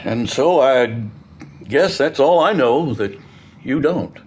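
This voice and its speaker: An actor playing an earnest, hesitant farmer giving witness in an audio drama, with an American accent and halting, folksy storytelling. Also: male voice, mid-range voice, lively intonation, husky. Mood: hesitant, uneasy. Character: plain-spoken farmer witness, earnest and hesitant, recounting a grim discovery. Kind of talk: audio theatre acting.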